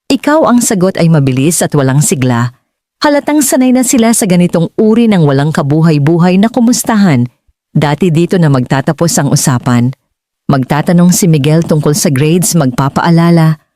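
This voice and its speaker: Lower-pitched Filipino woman narrating, lively intonation. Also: moderate pace, dry recording, clean studio sound. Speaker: audiobook narrator. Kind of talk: scripted dramatic story narration.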